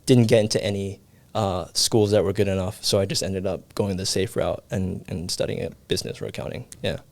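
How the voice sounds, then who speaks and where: Relaxed young man, dry close sound. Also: low voice, brisk, gravelly, dry recording. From Hong Kong. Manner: relaxed, chatty, many fillers. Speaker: guest, production company founder. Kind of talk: casual interview. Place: video podcast studio.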